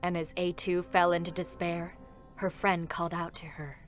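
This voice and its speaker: A woman giving a measured, formal scripted narration of a dramatic game story. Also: on the low side, little pitch movement, faint music behind.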